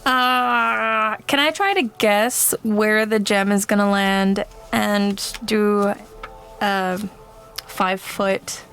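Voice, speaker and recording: A woman's voice: medium pitch, steady tone, drawn-out words, fairly bright tone. A woman playing a tabletop game, chatting casually in a tentative, questioning way. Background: music.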